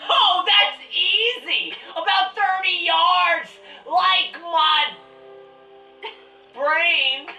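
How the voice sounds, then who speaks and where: A woman playing a nerdy, boastful professor with slow, showy delivery in a radio drama parody. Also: very high-pitched, bright, forceful tone, exaggerated voice. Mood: smug. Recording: echoey, distant, music behind.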